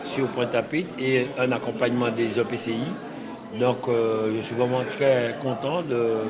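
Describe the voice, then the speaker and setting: A man's voice: mid-range voice, steady tone, a little slow. A man speaking as a regional official, giving a confident press statement. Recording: crowd audible behind. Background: crowd chatter.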